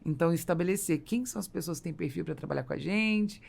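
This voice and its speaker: Brazilian female podcast host, lowish register with heavy vocal fry, affirming and explanatory in conversation. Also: fast, darkish tone. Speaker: podcast host and business consultant. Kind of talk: conversational business podcast interview.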